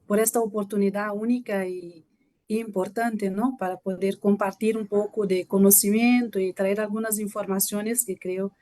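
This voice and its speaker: A woman's voice: mid-range voice, dry recording. A woman whose first language is Portuguese, speaking with a Brazilian accent and giving a polite, hesitant guest lecture over a video call. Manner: hesitant, polite, restarts often. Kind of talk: online lecture presentation.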